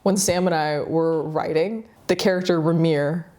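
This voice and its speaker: A woman's voice: sits low, steady tone, normal speed, a little mellow. A woman interviewer talking casually, chatty and effusive with her guest. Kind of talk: casual chat.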